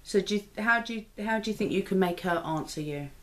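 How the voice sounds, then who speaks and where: A woman's voice: medium pitch, fast talker, fairly bright tone. A woman, giving a casual toy review at home. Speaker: parent reviewing a toy with a child. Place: living room sofa, indoors. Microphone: camera microphone at a short distance. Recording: home camera recording with faint noise.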